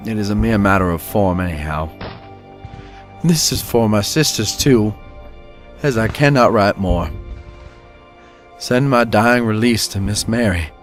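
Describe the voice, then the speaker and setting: Casting: male actor as dying young Civil War soldier. Very low, slow, solemn letter reading over music. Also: animated. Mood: solemn, tender. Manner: solemn, measured. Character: dying young Confederate soldier writing a last letter home.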